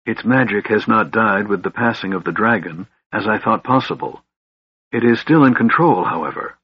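Guy doing cool young wizard in a fantasy audiobook, sharp n cryptic, husky, bit muffled. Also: fairly low pitch. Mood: musing. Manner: sharp, measured, enigmatic. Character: slender young male mage, cool, secretive, weary.

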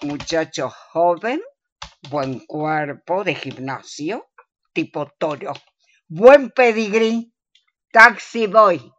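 A woman's voice: fairly low pitch, fairly bright tone, dull audio. A woman playing a tipsy, bossy older woman in a comic radio play, exclamatory and theatrical, with a Rioplatense Argentine accent. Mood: sultry reading.

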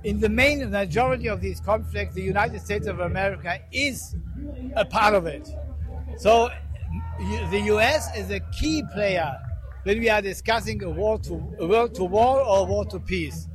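High-voiced man. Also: moderate pace, rough recording. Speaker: peace activist. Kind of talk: spoken statement in English as a second language.

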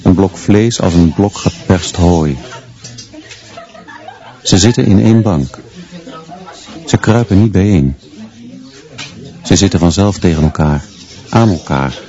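An actor playing a stern male schoolteacher who narrates a radio play in clipped, measured, grimly deadpan phrases. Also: low voice, muffled recording.

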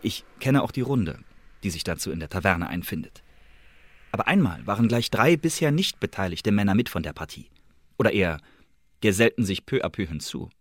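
An actor playing a wary, brooding male secret agent in a radio drama, telling his story in a confiding, dramatic way with a husky, breathy voice. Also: fairly low pitch, very animated, a little fast, dry recording. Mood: suspicious, uneasy. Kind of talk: German radio drama monologue, intimate storytelling.

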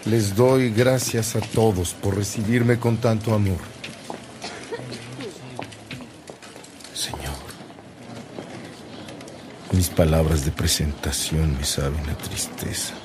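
Solemn, slow-speaking Mexican bishop. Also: male voice, fairly low pitch, background noise. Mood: moved, sorrowful. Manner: solemn, formal, measured. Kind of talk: radionovela acting.

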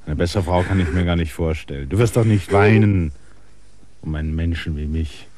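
An actor in a German radio drama playing a restless, stubborn outsider with a theatrical, intense delivery. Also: male voice, deep voice, normal speed, darkish tone, slight background noise, natural voice. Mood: earnest. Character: restless, stubborn outsider man, anxiously waiting, bitterly proud.

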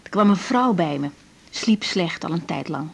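An actress playing an earnest, troubled young female doctor who speaks haltingly in a husky voice, in a naturalistic radio drama. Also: fairly low pitch, lively intonation, heavily acted. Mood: frank. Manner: halting, searching for words.

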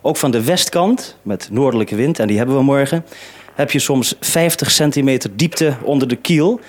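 A man's voice: medium pitch, moderate pace. A man giving an explanatory account on a broadcast, as a programme maker.

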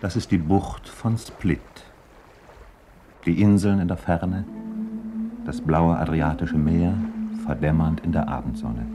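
A man narrating a 1950s German radio play as a contemplative storyteller, measured and literary, pausing between phrases. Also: low-pitched, music in the background. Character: measured, contemplative storyteller describing an old harbour town.

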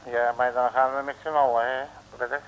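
An actor playing a cocksure, bumbling detective in an old radio comedy, full of slangy bluster and hesitations. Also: male voice, mid-range voice, flat delivery, brisk, lightly acted. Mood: grumbling. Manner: hesitant fillers, folksy comic threats. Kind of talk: 1950s-60s Dutch radio comedy acting. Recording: noisy old radio recording.

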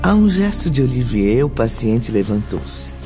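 A Brazilian man narrating a radio drama in a solemn, deliberate storytelling manner. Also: medium pitch, very animated. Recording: dull lo-fi sound under prominent music.

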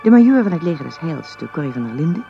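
A man's voice: on the high side, very animated, fast, gravelly. A man formally reading scripted credits as the announcer of a radio play. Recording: dull radio recording, music behind.

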